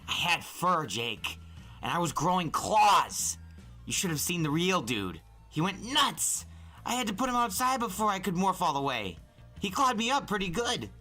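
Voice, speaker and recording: Man putting on an excitable, high-pitched teenage boy. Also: moderate pace, faint music behind, heavily acted. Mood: thrilled. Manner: breathless, emphatic, boyish gushing. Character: excitable, earnest teenage boy, bursting with enthusiasm.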